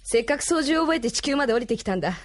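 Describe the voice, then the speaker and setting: A woman's voice: sits high, expressive, racing. A woman acting a tough, loyal young female pilot in a radio drama, plain-spoken and tomboyish, with music behind her. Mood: subdued, plain.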